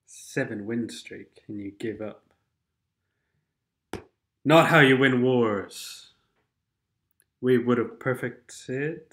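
A man's voice: medium pitch, drawn-out words, pauses often, fairly bright tone. Stuffy-nosed man drawling game commentary. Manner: sardonic, rambling, sings snatches of songs. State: stuffed-up nose from a cold. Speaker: gaming commentator. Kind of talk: casual game commentary over replays.

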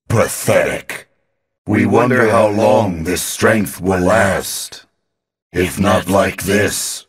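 A cold phantom sorcerer villain, low and super raspy, pompous, slow. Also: male voice, heavily acted. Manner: pompous, menacing, deliberate. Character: imperious male phantom sorcerer, cold and menacing. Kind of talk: video game villain acting.

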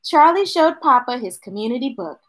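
A middle-aged woman reading a picture book aloud to children, expressive, with chatty asides to her young listeners. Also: sits high, a little hiss or hum. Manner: expressive, drawn-out delivery addressing young listeners. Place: small indoor room with bookshelves.

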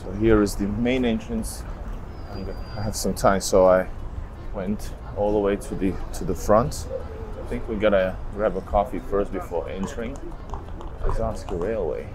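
A man's voice: on the low side, steady tone, normal speed, noisy background. A man in his thirties walking through a railway station, filming himself at arm's length and talking casually to viewers with many fillers. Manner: casual, thinking aloud with many fillers, addresses viewers as guys. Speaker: travel vlogger. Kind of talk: walking travel vlog. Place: outdoors at a city railway station, then inside a large station hall. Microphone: handheld camera at arm's length.

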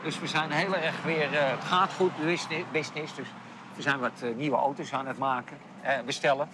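A man in his sixties, a former racing driver, answering questions in a relaxed, hesitant way with many fillers. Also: a little high, fairly quick, background noise. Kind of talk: casual interview answers. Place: outdoors at a racetrack, then driving a sports car.

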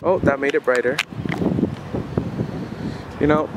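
A man's voice: a little high, fairly even intonation, slow. A teenage young man casually chatting as a student vlogger and interviewer. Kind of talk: casual vlog chat and interview. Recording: roomy, noisy, distant camera mic.

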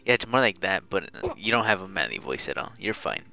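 A woman's voice: very deep voice, very animated, quick pace, vocal fry, pushed and bright, soft background music. Playful, bossy woman streamer. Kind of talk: casual chat while gaming.

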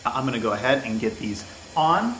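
A young man giving a relaxed, casual product review with frequent ums and restarts, recorded on a camera mic in a bare room. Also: mid-range voice, brisk. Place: bare indoor room with wooden floor. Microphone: camera mic, near then from a distance. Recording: echoey room, faint hiss.

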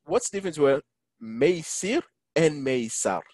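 A man teaching an online Quran lesson, engaging his listeners and reciting Arabic verses quickly. English is not his first language. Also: a little high, lively intonation, a little mellow, dry recording, studio quality. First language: neither Arabic, English nor French. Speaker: imam teaching a Quran class. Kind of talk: online Quran lesson over a video call. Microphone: video call.

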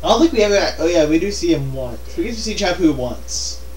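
A man's voice: high-pitched, animated, fast. A man giving casual, joking game commentary, riffing in a silly, repetitive way. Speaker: let's play co-commentator. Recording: roomy, distant mic, faint noise.

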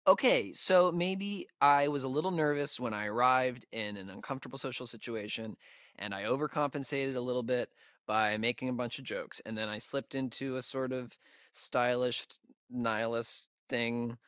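An actor playing an awkward, nerdy young camp counselor in a video game, an American man who talks in a halting, self-conscious, easygoing ramble. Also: medium pitch, fairly bright tone, clean tone, dry recording, studio quality, close to an everyday voice. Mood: sheepish, awkward. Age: young adult. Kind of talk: naturalistic game dialogue.

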